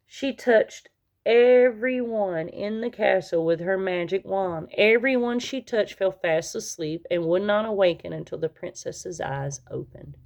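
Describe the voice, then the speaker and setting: A woman reading a children's story aloud as narrator, in an expressive storytelling manner that leans on key words. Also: medium pitch. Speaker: storybook reader.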